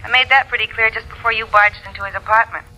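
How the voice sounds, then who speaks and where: An actress playing a sardonic, brisk, wisecracking city woman on the telephone in a 1940s radio drama. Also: a little high, steady tone, bright, forceful tone, rough recording.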